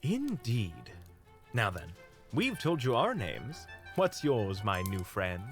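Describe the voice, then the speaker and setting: A man's voice: medium pitch, huge pitch swings, slow pace, soft background music, heavily acted. A man acting a charming, unflappable young hunter in a fantasy audio drama, courteous, playful and musing.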